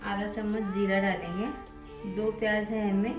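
Woman, cooking host, step-by-step recipe narration, echoey room, clear music underneath. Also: medium pitch, measured pace. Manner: plain instructive, steady explaining each step.

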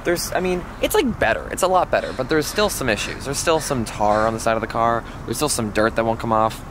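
A man's voice: medium pitch, lively intonation, brisk, noisy background. A young man chatting eagerly to a handheld camera on a travel vlog, outdoors on city streets and in a car. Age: young adult. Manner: upbeat, enthusiastic, chatty with many fillers. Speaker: vlogger. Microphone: handheld camera at arm's length.

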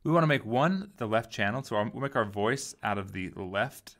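A man giving a casual software tutorial, informally thinking aloud as he goes. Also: fairly low pitch, expressive, normal speed. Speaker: tutorial host.

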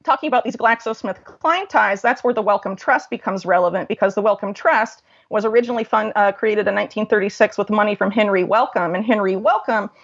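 A woman's voice: mid-range voice, a little fast, gravelly, few pauses, dull audio. A woman being interviewed as a researcher, explaining her findings informally and at length.

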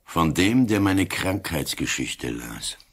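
Very deep, husky old inspector. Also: male voice, big pitch swings, takes it slowly, a bit bright, exaggerated voice. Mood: stoic, resigned. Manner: dry, terse, probing. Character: ailing old police inspector, wry, stoic, inscrutable. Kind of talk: German-language radio drama acting.

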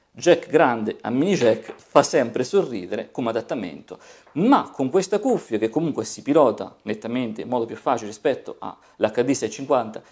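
A man in his forties hosting a casual product review, playful and expressive, drawing out words for emphasis. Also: mid-range voice. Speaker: tech reviewer, video host. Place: small home studio room with desk and monitors.

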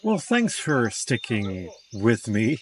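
A man's voice: mid-range voice, very animated, takes it slowly. A man in his fifties talking casually to the camera outdoors as a van life vlogger, in a soothing, reflective, chatty way. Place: outdoors by a parked van and a beach shelter.

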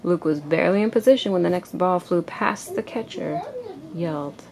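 A woman reading a children's picture book aloud to a child in an expressive storytelling way. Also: lowish voice, normal speed, creaky. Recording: noisy amateur home recording.

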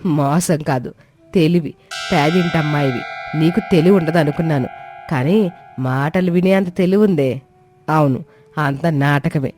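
A woman's voice: low-pitched, unhurried, long pauses, darkish tone. A woman voicing a bossy, cruel older mother-in-law in a dramatised moral story, sneering and commanding. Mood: cold, scornful. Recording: faint music behind.

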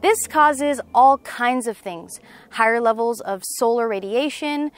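A young woman presenting scripted documentary narration in a measured, explanatory manner. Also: on the high side. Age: young adult.

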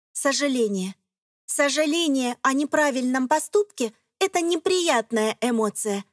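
A woman's voice: high voice, a little hiss or hum. A woman reading scripted narration for an educational children's cartoon, explaining things in simple phrases addressed to young listeners.

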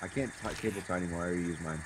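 A young man with a very low voice talks casually over a game, firing off excited questions and curses. A loud, steady hiss sits under his speech. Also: rapid-fire. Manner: swears a lot, excited questions. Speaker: streamer playing a game. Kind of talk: casual gaming chat.

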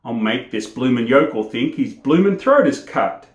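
A man's voice: medium pitch, expressive, moderate pace, lightly acted. A man voicing a small, flashy, teasing Sydney barber in a recited comic poem. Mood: sly. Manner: sly, teasing. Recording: roomy indoor echo.

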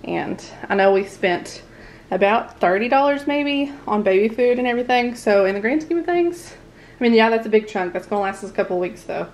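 A woman's voice: a little high, expressive, fairly quick. A woman, chatting casually through a grocery haul at home, rambling and laughing often. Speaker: family vlogger. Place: home dining room, indoors.

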